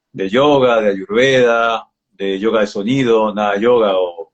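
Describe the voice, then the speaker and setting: Man, forties, Argentine accent, reflective interview talk, mid-pitched voice, dull lo-fi audio. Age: 40s. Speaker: yoga practitioner being interviewed. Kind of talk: casual live interview chat.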